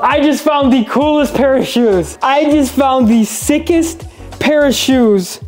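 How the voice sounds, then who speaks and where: A man in his twenties with an American accent, giving casual, excited, slangy commentary as he vlogs, repeating himself for emphasis. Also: extremely high pitch, a little slow, soft background music. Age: 20s. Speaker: vlog host. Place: indoor storage unit corridor. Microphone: small mic clipped to hoodie.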